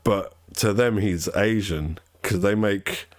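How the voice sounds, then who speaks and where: A man's voice: lowish voice, takes it slowly, no room sound, clean studio sound. A man streaming a game, talking in a casual, rambling way with a nasal voice and frequent restarts. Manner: rambling, self-correcting, chatty. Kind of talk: casual chat while gaming.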